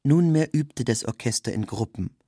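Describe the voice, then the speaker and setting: Husky man reading in a dry studio. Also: medium pitch, expressive, moderate pace, mellow, dry recording. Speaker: narrator. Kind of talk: scripted literary narration.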